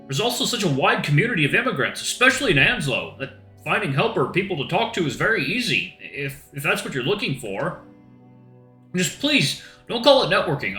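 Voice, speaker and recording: Friendly, chatty young guy, a man doing the voice, lighter and higher than the narrator, in a roomy recording. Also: bright, forceful tone. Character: friendly young male camp-goer, upbeat and candid. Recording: roomy echo with faint guitar music.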